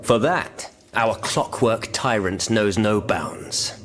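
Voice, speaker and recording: A man's voice: lowish voice, takes it slowly, fairly bright tone, a little hiss or hum. A man voicing a stern, sardonic eighteenth-century revolutionary in a video game, formal and oratorical. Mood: resolute, sardonic. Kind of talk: scripted video game cutscene dialogue.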